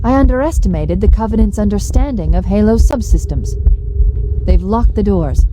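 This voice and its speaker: Humming machinery noise sits behind a female artificial intelligence from a sci-fi video game , played by an actress. She is composed and analytical, with a middle-pitched voice. Her delivery is precise and formal, like a military guide briefing troops. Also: moderate pace, close to an everyday voice. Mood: composed, focused. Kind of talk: scripted video game acting.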